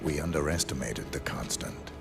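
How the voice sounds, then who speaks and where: A man's voice: rumbling low, moderate pace, vocal fry, over music. An actor playing a stoic, cold male assassin in a video game, speaking in a terse, grave way. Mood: grave, guarded. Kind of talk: cinematic video game acting.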